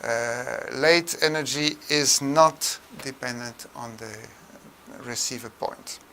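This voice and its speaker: Older man lecturing, very bright voice. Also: mid-range voice, slow, clear, resonant tone. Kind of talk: tutorial lecture on room acoustics.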